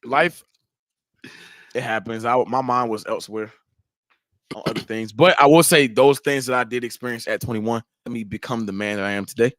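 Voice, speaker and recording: A man's voice: medium pitch, moderate pace, fairly bright tone, dry recording. A man in his twenties hosting a casual podcast chat, slangy and laid-back, laughing often. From Haiti. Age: 20s. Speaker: podcast host.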